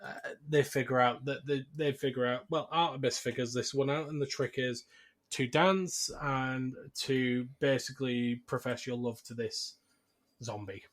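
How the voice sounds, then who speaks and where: A man co-hosting a podcast, talking through a film in a casual, conversational recap with quick acted asides. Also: mid-range voice, fairly even intonation. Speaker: podcast co-host.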